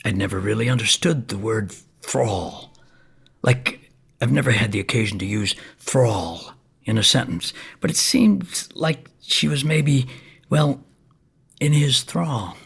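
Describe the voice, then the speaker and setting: Gravelly, rambling, folksy neighbourhood oddball. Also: male voice, mid-range voice, lively intonation, unhurried, heavily acted. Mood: wry, earnest. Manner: folksy, hesitant, meandering storytelling. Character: rambling, shabby older neighbour man, a folksy drug user. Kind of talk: comic stage monologue.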